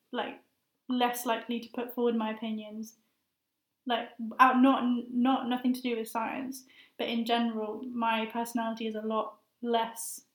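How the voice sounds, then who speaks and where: A young woman in her twenties with a high voice, a master's student answering interview questions in a hesitant, thoughtful way with many fillers. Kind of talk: casual conversational interview. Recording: roomy echo.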